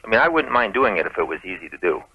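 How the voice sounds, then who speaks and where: A man's voice: fairly low pitch, big pitch swings, racing, slightly rough, no room sound. A man calling in to a radio show, talking casually. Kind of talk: casual phone-in conversation. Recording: dull lo-fi call-in radio tape.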